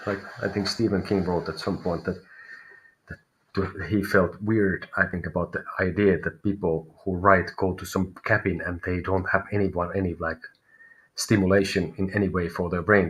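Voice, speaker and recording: Finnish guy doing live tarot, lowish voice, kinda feels his way through each sentence. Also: a bit bright, low-quality recording. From Finland. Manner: thinking aloud, searching for words. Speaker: tarot reader. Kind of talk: live tarot reading for viewers.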